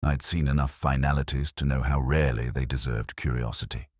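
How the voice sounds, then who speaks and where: A man reading a first-person literary detective mystery in a measured, reflective, melancholy way. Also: very deep voice, steady tone, no room sound, clean studio sound.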